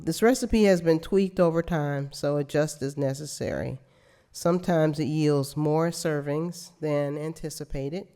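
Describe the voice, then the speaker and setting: A woman who serves as worship leader, reading aloud at a church service and introducing a speaker, measured and with a light wry aside. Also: low voice, dark tone.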